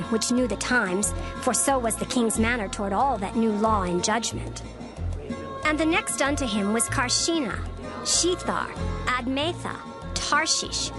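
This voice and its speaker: A woman reading scripture as narrator in a steady, formal way. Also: a little high. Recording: narration over music bed. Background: music.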